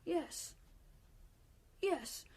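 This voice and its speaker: An actor playing an excitable, childlike young man with a naive, eager, morbid fascination, in a radio drama. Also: very slow. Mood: defiant, gleeful. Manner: eager, naive, giggly, repeats questions back.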